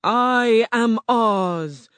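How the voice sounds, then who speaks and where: Narrator doing a terrifying giant wizard, slow, loud, booming, set back from the mic, dry sound. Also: no echo.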